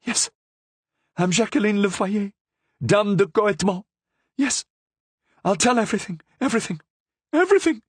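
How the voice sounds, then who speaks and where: A man voicing a half-mad, starving woman prisoner who cries out in desperate, shrill pleas. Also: lively intonation, unhurried, husky, no room sound, full character voice. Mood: frantic pleading.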